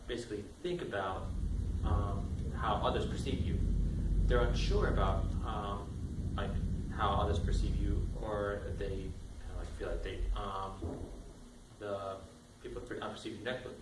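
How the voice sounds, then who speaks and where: A man hosting an interview, asking questions in a halting way with many fillers. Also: on the low side, steady tone. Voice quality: halting, filler-heavy speech. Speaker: interview host. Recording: echoey room, distant mic, noisy.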